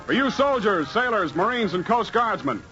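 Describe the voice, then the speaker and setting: High-pitched radio announcer man over loud music. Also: sing-song, moderate pace. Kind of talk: 1940s radio show introduction. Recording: dull old radio broadcast, loud music behind.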